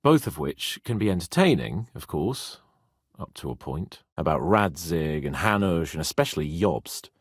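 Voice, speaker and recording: An actor playing a brash, petulant young medieval nobleman in a video game, with a husky voice and a pompous, sardonic manner. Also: low voice, very animated, normal speed, dry recording, studio quality, exaggerated voice. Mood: matter-of-fact. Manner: pompous, sardonic, swearing freely.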